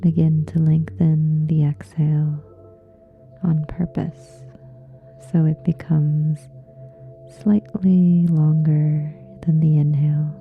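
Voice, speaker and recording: A woman leading a guided relaxation meditation in a soft, breathy, hushed and soothing way, leaving long pauses. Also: low voice, flat delivery, phone-quality sound. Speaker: yoga nidra meditation guide. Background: soft meditation music with singing bowls.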